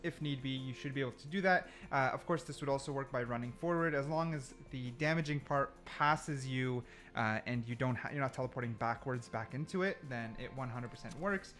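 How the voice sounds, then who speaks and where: A man's voice: mid-range voice, a little fast, hardly any pauses, a bit bright. A man giving casual, unscripted gameplay commentary, thinking aloud and correcting himself as he goes in an informal way. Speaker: gaming video creator. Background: background music.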